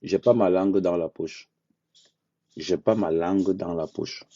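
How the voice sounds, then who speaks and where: A man speaking bluntly in an unscripted live monologue, repeating phrases for emphasis and talking slowly and deliberately. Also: low-pitched, warm tone, no echo. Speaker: social media commentator. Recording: dull lo-fi dry sound.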